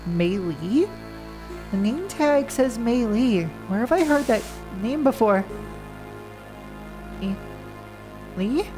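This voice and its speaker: A woman's voice: a little high, expressive, takes it slowly, pauses often, rough recording. A woman game streamer with a very nasal, congested voice, chatting casually and reading game text aloud in the first person. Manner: reads game lines plainly in first person, breaking off to chat. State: congested from a winter cold. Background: faint game music.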